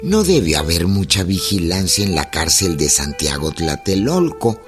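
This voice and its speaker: A man's voice: low voice, very animated, measured pace. A man narrating a scripted historical radio drama. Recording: clear music bed behind.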